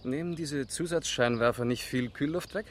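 A man's voice: medium pitch, moderate pace, slight background noise, lightly acted. A man acting as a police first lieutenant in a 1970s German radio crime drama, casually probing and wry.

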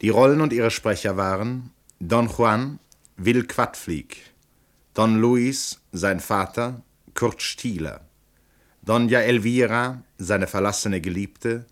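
A man reading out radio play credits as a formal announcer, with measured enunciation. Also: lowish voice.

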